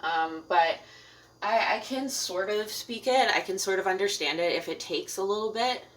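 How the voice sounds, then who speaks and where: A woman's voice: mid-range voice, moderate pace, rough recording. A young Canadian woman from Ontario chatting casually on a live cooking stream at home, rambling with fillers and laughing often. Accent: Canadian English. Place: home kitchen.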